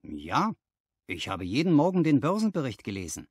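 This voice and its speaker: A man's voice: medium pitch, huge pitch swings, moderate pace, husky, no room sound, studio quality. A man voicing a modest, polite young clerk with an earnest manner in an audiobook. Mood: eager, modest. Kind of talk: dramatized audiobook dialogue.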